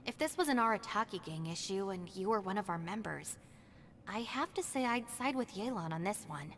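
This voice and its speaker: A woman voicing a level-headed, dryly exasperated young gang deputy in a video game, speaking in a measured, matter-of-fact way. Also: mid-range voice, animated, a bit bright, big-room sound, a little hiss or hum. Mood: reassuring.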